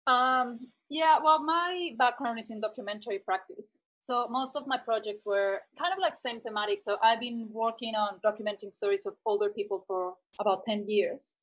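A woman with a fairly high voice talks through her thoughts in a relaxed interview. She hesitates and restarts as she looks for the right words. Her sound comes through a little dull and lo-fi. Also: normal speed, few pauses. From Mexico. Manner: thoughtful, searching for words with many fillers. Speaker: artist guest. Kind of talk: conversational interview.

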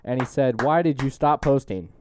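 A man's voice: mid-range voice, moderate pace, a little mellow, slight background noise. A young man hosting a podcast in casual, joking chat. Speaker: podcast host.

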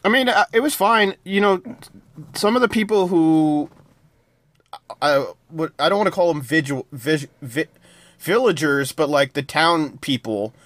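Casual podcast co-host, a man with a slightly higher voice, dry close mic, loose rambling delivery. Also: lively intonation, unhurried, fairly bright tone, no echo. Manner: rambling, loose plot summaries with many fillers. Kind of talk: casual TV review chat.

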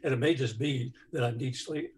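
A man with a bass voice sharing personal news in a group, hesitant with many pauses and fillers. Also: slight background noise.

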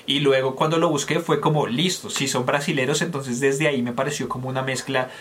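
A man from Colombia talks through new songs in a casual review show. He is candid and opinionated, sorting out his thoughts aloud with plenty of fillers and restarts. His voice sits at an ordinary middle pitch. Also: fairly even intonation, a little fast, fairly bright tone. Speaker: music reviewer co-host. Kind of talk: casual music review chat.

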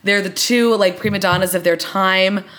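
A woman's voice: medium pitch, fairly even intonation, moderate pace, fairly bright tone. A woman co-hosting a podcast, chatting casually about television in an informal way, peppered with "like" and "you know". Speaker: podcast co-host. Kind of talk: casual TV review chat.

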